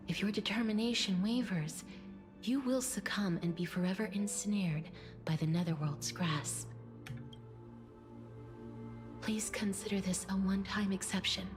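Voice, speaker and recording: A woman acts out a serene demigoddess of death from a fantasy game. Her voice is soft and breathy at a middle pitch. She speaks in formal, measured, solemn phrases tinged with sorrow, over background music. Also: natural voice. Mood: sorrowful, resolute. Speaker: video game character. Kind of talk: dramatic scripted game dialogue.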